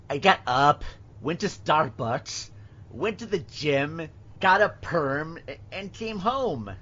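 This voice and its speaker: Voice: medium pitch, animated, very slow, sharp tone, heavily acted. A performer plays a young woman on the witness stand, sullen and defensive. The delivery is curt and sulky, in radio play style. The sound is a bit muffled and lo-fi. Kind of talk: amateur audio drama courtroom acting. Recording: dull lo-fi audio.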